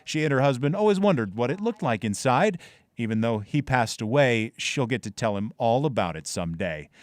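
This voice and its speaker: A man's voice: mid-range voice, lively intonation, fairly quick, no echo. A man reading scripted narration for a human-interest television feature in a folksy, storytelling manner. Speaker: feature reporter.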